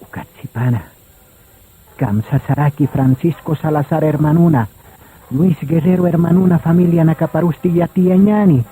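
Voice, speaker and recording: A man's voice: a little high, moderate pace, mellow, low-quality recording. A man narrating a radio drama in a solemn, scripted style.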